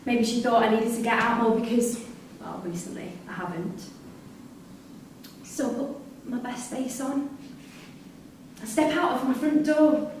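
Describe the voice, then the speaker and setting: An actress in a live staged reading playing a disillusioned, confrontational prison worker with an emphatic, pointed delivery. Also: female voice, on the high side, unhurried, long pauses, a little mellow. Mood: wry, bitter. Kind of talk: live theatre acting. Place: theatre performance space in Salford. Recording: echoey hall, distant mic, faint noise.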